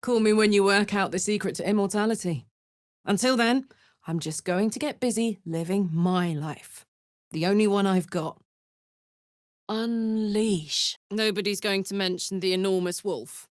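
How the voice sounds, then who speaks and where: Battle-hardened, big-hearted tiefling warrior woman for a video game, played by an actress; blunt, sweary, unhurried, dry studio sound. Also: medium pitch, no room sound, natural voice. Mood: wry, bold. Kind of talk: video game character voice lines.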